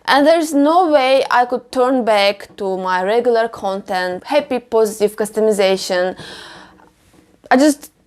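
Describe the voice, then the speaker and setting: A woman from Ukraine, a clothing customization creator, speaking slowly in a halting and emotional way in a personal vlog voice-over. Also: a little high, animated, a little mellow.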